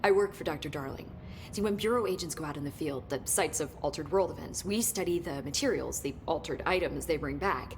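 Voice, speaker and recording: A woman's voice: deep voice, fairly quick, slight background noise. A woman playing an eager, curious young American research scientist in a video game, chatty and explanatory. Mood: enthusiastic explaining. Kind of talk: video game dialogue acting.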